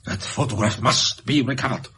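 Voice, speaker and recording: An actor playing a proud, anxious European monarch in a radio drama, speaking in a grave, formal, melodramatic manner. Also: male voice, mid-range voice, normal speed, bright, forceful tone, slight background noise, lightly acted. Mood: grave, urgent.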